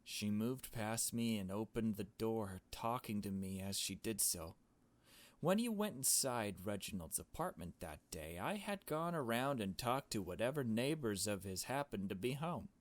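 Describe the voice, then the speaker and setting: A man's voice: lowish voice, lively intonation, normal speed. A man reading a visual novel aloud as narration, as a player commenting on the story along the way.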